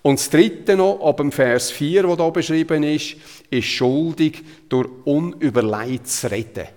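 A man's voice: on the high side, a little slow, darkish tone. Zurich Swiss man preaching in a reverberant hall. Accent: Zurich Swiss German. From Zurich, Switzerland. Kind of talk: sermon with Bible retellings, conversational.

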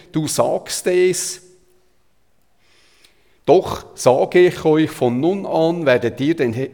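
A male preacher with a Zurich Swiss German accent speaks at a medium pitch, with long hall reverb around his voice. His delivery is conversational yet emphatic, in the style of a sermon that retells scripture. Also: mellow. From Zurich, Switzerland.

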